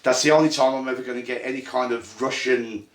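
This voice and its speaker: A man's voice: mid-range voice, steady tone, fairly bright tone. Chatty Brummie man explaining game rules. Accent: Birmingham. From Ireland. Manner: chatty, halting with fillers, self-deprecating. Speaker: host and game runner. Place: indoor room at a gaming table. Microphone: phone. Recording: echoey room on phone mic.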